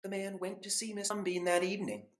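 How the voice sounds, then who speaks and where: A man narrating a children's storybook as a librarian would, expressively, with deliberate pauses between phrases. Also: high-pitched, fairly even intonation. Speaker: school librarian reading aloud. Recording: echoey room.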